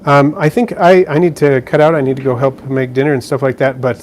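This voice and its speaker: A man chatting through a relaxed live-stream Q&A from beside his terrarium. His voice sits at an ordinary middle pitch. He talks easily, full of "um"s and little restarts. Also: a little fast, slight background noise. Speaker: live stream host answering viewer questions. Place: indoors beside a frog terrarium.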